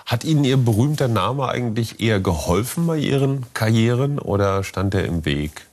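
A man's voice: medium pitch, animated, normal speed, darkish tone. A man in his forties hosting an informal television interview in a studio, with a teasing, playful manner. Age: 40s. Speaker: talk-show host, interviewer.

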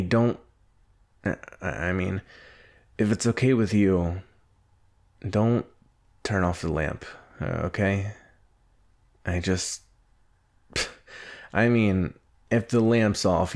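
A man playing a brash, gruff young anime hero who hides his fears, in a halting, defensive, intimate ASMR roleplay. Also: fairly low pitch. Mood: softened, sleepy. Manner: halting, defensive, huffy, with sighs.

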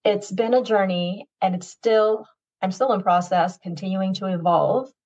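Earnest Tagalog-speaking retired nurse, muffled. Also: female voice, mid-range voice, darkish tone. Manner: earnest, measured storytelling. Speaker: wellness coach, retired nurse. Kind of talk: podcast guest story. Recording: muffled lo-fi sound.

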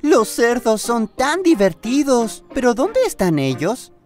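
A woman voicing a cheerful, eager young boy train engine in a children's cartoon dub, with a Latin American accent and clear, expressive delivery. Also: a little high, slow pace, faint music behind. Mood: excited, curious.

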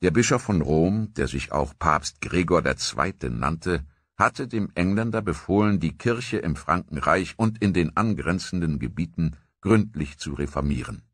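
A man narrating a history documentary from a script in a measured, grave storytelling manner. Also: low voice, expressive, no room sound.

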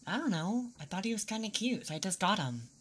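A woman doing a casual ASMR roleplay, talking softly and relaxed, drifting into whispers. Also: medium pitch, a little fast, husky. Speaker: ASMR performer. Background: wooden toy sounds, faint ambience.

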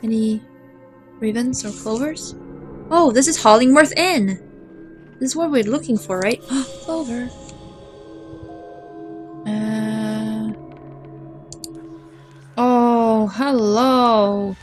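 A woman narrating her playthrough of a game, chatting, reading text aloud and reacting with quick startled exclamations. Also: a little high, soft background music. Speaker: gaming video host.

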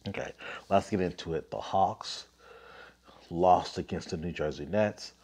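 A man's voice: low voice, unhurried, darkish tone. A man in his thirties with a cold, hosting a casual basketball podcast, reading off scores and ranting in an offhand way on a phone mic. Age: 30s. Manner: offhand, lots of fillers, reads scores aloud. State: getting over a cold, coughing. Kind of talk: casual sports recap and rant. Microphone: phone, selfie framing.

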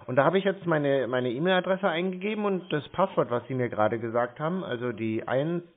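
A man's voice: on the high side, lively intonation. Hesitant man on a tinny phone line. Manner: hesitant, slow-witted, rambling explanations. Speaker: caller posing as a confused customer. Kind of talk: casual phone conversation.

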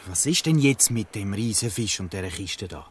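A man's voice: on the low side, animated, normal speed, a little hiss or hum, close to an everyday voice. An actor with a Swiss German accent playing an inquisitive, naive boy in a dialect audio drama. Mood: puzzled.

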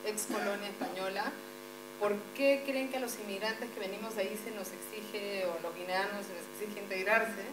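A woman's voice: medium pitch, fairly even intonation, normal speed. A woman from Peru asking a debate panel a challenging question, thinking aloud as she goes. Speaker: audience member asking a question. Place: meeting room. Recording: echoey room with mains hum.

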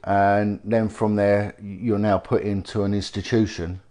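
A middle-aged man with a slight cold, speaking in a somewhat low voice. He talks haltingly, with many ums and restarts, as he introduces a guest. He is recorded close on a lapel mic in a living room. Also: little pitch movement, warm tone. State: has a cold. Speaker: interviewer, former police detective. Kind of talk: sit-down interview.

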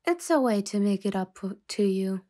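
A woman playing a stern but softening mafia boss in an intimate ASMR roleplay, speaking in measured phrases with pauses, often nearly whispering. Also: medium pitch, lively intonation, lightly acted. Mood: apologetic, calm.

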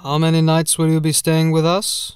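A man's voice: fairly high pitch, monotone, normal speed, clean tone, no echo, faint music behind, lightly acted. A man acts out an elderly, hunched steward of a gothic castle in an audiobook. He is formal and curt, a deferential servant who addresses guests with guarded courtesy. The sound is dry and close. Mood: politely brisk.

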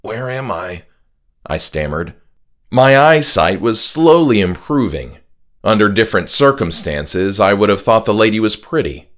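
Low-voiced man narrating horror. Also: sing-song, moderate pace, fairly bright tone. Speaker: author and audiobook narrator. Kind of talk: scripted horror narration, first person. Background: music.

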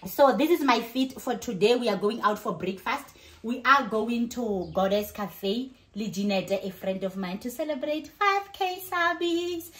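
A woman's voice: mid-range voice, moderate pace. A woman in her thirties with a South African accent, chatting casually and expressively as a vlogger and switching between languages. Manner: excited, expressive. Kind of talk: casual vlog chat mixing in another language. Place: living room and kitchen at home.

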